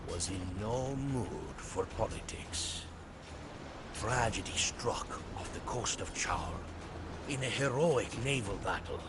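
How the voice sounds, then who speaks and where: A man's voice: on the low side, background noise. A man playing a proud Portuguese seafarer, narrating a strategy game's storybook campaign in a grave, theatrical manner. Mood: somber. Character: proud Portuguese seafarer recounting his captain's conquests. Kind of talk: dramatic scripted storybook narration for a strategy game. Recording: studio voice over prominent music.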